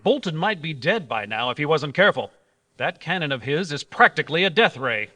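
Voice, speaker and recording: A man playing an earnest, authoritative hero of an old-time radio adventure, explaining things and giving brisk orders. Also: fairly high pitch, very animated, bright, forceful tone. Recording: live stage recording with background noise.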